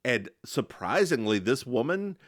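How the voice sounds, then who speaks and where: A man co-hosting a podcast, recapping a TV episode in casual, campy chat with animated storytelling. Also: a little slow, dry recording, clean studio sound. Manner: animated, campy storytelling with sudden high-pitched impressions. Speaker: podcast co-host.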